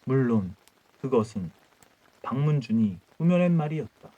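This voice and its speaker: A man's voice: medium pitch, sing-song, normal speed, mellow, no room sound, dull audio. A man narrating a scripted historical folktale as an audiobook storyteller.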